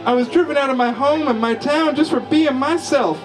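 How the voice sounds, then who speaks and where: Man putting on a high coy young lady voice, pleading, theatrical, music behind. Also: fairly quick, rough recording.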